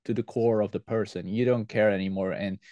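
A man's voice: fairly low pitch, steady tone, a little fast, warm tone, dry recording, lo-fi sound. A man from Belgium, answering interview questions as a podcast guest in an earnest, explanatory way. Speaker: podcast guest, airsoft team leader.

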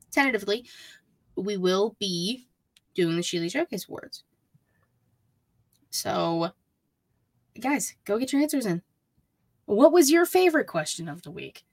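A woman's voice: medium pitch, expressive, unhurried, long pauses, dry recording. A woman with a mid-range voice co-hosts a casual fan podcast. She talks in an informal, conversational way, recorded dry with almost no room sound. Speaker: podcast co-host.

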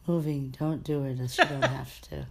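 A woman co-hosting a podcast in casual chat, still recovering from being sick and clearing her throat often, giving short, dry replies. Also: very deep voice, steady tone, moderate pace. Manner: brief agreeing replies, dry asides. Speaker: podcast co-host.

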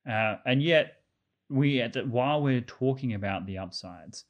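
A man co-hosting a casual discussion, earnest and argumentative, often restarting his words, his voice slightly croaky. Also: medium pitch, lively intonation, moderate pace, lo-fi sound. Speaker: podcast co-host.

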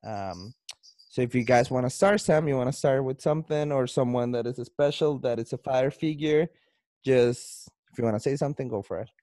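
A man with a Colombian Spanish accent hosts a relaxed podcast chat. He rambles and restarts, sounding loose and friendly. His voice is dry and close. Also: mid-range voice, a little fast, no room sound. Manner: loose and chatty, with frequent restarts and laughs. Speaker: podcast host.

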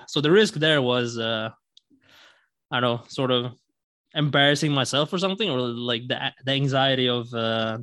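A man's voice: medium pitch, fairly bright tone, dry recording. Hesitant young man from Sweden. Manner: hesitant, many fillers and restarts. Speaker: podcast guest. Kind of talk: casual storytelling.

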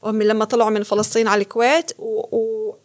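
A woman, born in Kuwait, telling her life story as an interview guest with lively laughter. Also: on the high side, fast. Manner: animated storytelling, laughs often. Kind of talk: casual interview.

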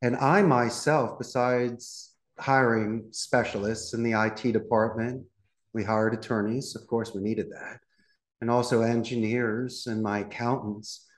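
A man's voice: mid-range voice, steady tone, measured pace. Confident middle-aged man in a roomy space. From Philadelphia. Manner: confident, polished, upbeat. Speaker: call center CEO, podcast guest. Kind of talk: podcast interview.

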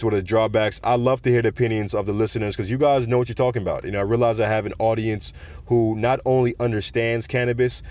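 Deep-voiced man hosting a podcast rant. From South Florida. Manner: projects his voice, relaxed and conversational, fond of "you know". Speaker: podcast host. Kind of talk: casual solo podcast rant.

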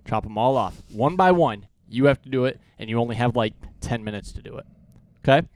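A man's voice: mid-range voice, lively intonation, brisk, darkish tone, no room sound. A male podcast co-host with an ordinary mid-range voice, chatting casually as if among friends. The sound is dry, with hardly any room around it.

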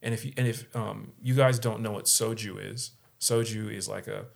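A man co-hosting a casual podcast, telling a story in an animated way and acting out the people in it. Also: fairly low pitch, normal speed, vocal fry. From San Diego area. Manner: animated, acts out quoted voices. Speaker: podcast co-host.

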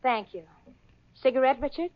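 A woman's voice: high-pitched, moderate pace, no echo, natural voice. An actress playing a sensible young woman who gets flustered and stammers, in a 1940s radio sitcom. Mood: polite. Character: level-headed young woman roommate, easily flustered. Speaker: lead character and narrator. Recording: dull old radio broadcast with audience.

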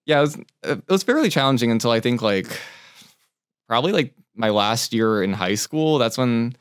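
A young man with a smooth, clear voice, a chef guest on a podcast who grew up in California. He tells his story easygoing and laughs often, recorded close and dry. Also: mid-range voice, expressive, moderate pace, no room sound. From born in Taiwan, raised in California. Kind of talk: casual interview chat.

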